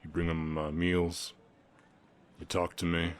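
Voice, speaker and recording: A man playing a kind, protective, shy orc ranch hand with a Southern US accent, speaking gently and plainly in an intimate roleplay. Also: sits low, flat delivery, unhurried, clean tone. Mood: tender, hesitant. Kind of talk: intimate ASMR romance roleplay.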